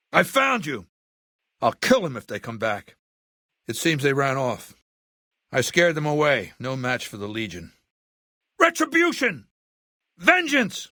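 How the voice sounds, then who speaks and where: A man playing an arrogant, ruthless middle-aged warlord dictator in a video game, speaking in a haughty, deliberate, condescending way. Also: a little high, sharp tone, no room sound, clean studio sound, full character voice. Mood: shouting in battle, then menacing. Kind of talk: video game voice lines and monologue.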